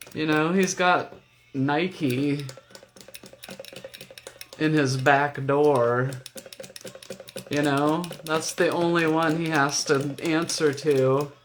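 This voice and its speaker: Deep-voiced older woman ranting slowly. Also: slight background noise. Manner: blunt, profane ranting. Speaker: vlogger. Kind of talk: rambling talk to camera. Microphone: camera mic.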